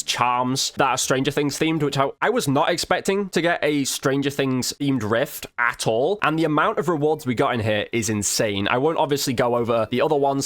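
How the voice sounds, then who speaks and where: A man's voice: mid-range voice, fairly bright tone. A man giving casual, chatty commentary on a game update, informal and lightly sweary. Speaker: gaming video creator and commentator.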